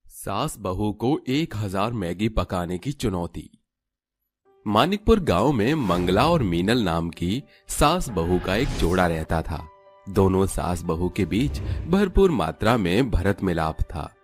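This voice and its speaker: A man narrates a scripted story. His voice is on the low side and very animated in its intonation. There is faint music behind him. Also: takes it slowly.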